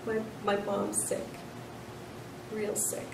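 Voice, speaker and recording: A woman voicing an upset, worried young schoolboy in a children's story, halting and plaintive. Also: medium pitch, completely flat delivery, creaky. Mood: tearful, worried. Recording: roomy, distant mic, noisy.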